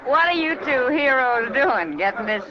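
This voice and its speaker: A woman's voice: sits high, lively intonation, moderate pace. A woman playing a teasing, sardonic saloon woman in an old radio western, speaking with easy familiarity. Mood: wry, teasing. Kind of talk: 1950s radio western acting. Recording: dull old radio recording, crowd behind.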